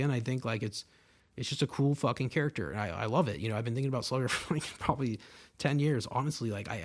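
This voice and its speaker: A young man hosting his own podcast, talking in a loose, rambling monologue full of fillers and swearing, into a podcast microphone. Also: mid-range voice. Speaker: podcast host. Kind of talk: casual solo podcast monologue.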